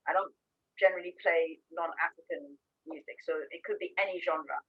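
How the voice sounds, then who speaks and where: A woman's voice: fairly low pitch, fairly even intonation, fairly quick, husky, dull audio. A woman in her fifties, originally from Trinidad, telling stories in an earnest, reflective way over a video call. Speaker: radio host and guest.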